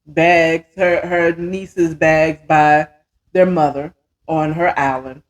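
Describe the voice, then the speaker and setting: A woman's voice: deep voice, steady tone, takes it slowly. A woman in her fifties telling a story casually as a podcast host, chatty and emphatic, often checking in with the listener. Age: 50s.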